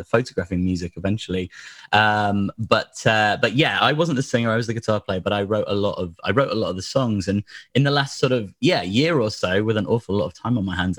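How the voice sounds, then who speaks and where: Low-voiced, slightly hoarse man rambling. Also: a bit bright, no echo. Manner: rambling, lots of fillers and restarts. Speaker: podcast guest. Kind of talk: casual chat.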